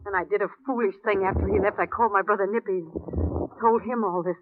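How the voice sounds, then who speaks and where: A woman's voice: mid-range voice, steady tone, fast, lightly acted. An actress playing an anxious, defensive, bitter young woman in trouble in a 1950s radio drama, with an intense, melodramatic delivery. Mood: worried. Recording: tinny, noisy old radio recording with clicks.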